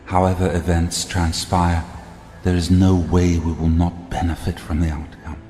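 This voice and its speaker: An actor playing an embittered, silky and calculating royal elder brother in an audio drama, with a deliberate, commanding, theatrical delivery. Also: male voice, deep voice, flat delivery, warm tone, close to an everyday voice. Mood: smug, scheming. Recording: long reverberant hall, music behind.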